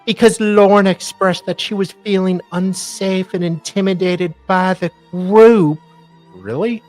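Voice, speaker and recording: A woman's voice: mid-range voice, steady tone, measured pace, darkish tone. A woman co-host reading documents aloud in a theatrical, mocking way, breaking off for casual asides. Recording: faint music behind voice. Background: faint music.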